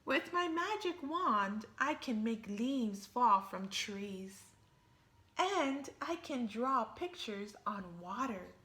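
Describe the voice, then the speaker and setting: A woman reading a picture book aloud as an imaginative little girl who thinks she's a fairy, with lively, playful emphasis. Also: on the high side, slow pace.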